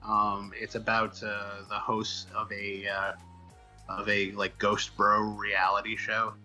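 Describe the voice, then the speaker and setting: A man with a very bright, forceful voice talks as a guest in a casual interview. He tells stories in a rambling, wry way, over a faint bed of music. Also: on the low side, completely flat delivery, takes it slowly. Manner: rambling, wry, informal storytelling. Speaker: guest comics writer.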